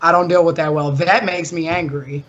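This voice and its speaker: A young woman with a deep, loud voice, arguing firmly and defensively in a casual talk show. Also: steady tone, normal speed, highs cut off, noisy background. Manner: firm, defensive, insistent. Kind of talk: heated casual argument.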